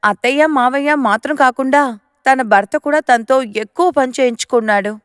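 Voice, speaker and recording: High-pitched woman narrating a story. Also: a little fast, clear, resonant tone, no echo, clean studio sound.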